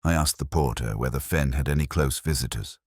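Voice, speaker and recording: Very deep-voiced male mystery narrator. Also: a little fast, darkish tone, husky, dry recording, clean studio sound. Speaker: narrator of a detective story. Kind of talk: scripted first-person mystery narration.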